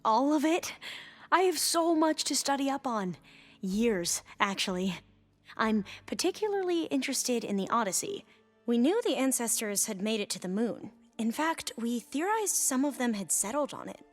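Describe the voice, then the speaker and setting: An actress playing a nervous, earnest young woman scholar in a video game, speaking haltingly in formal, learned wording. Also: fairly high pitch, sing-song, soft background music. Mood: eager, awed. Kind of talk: video game cutscene dialogue.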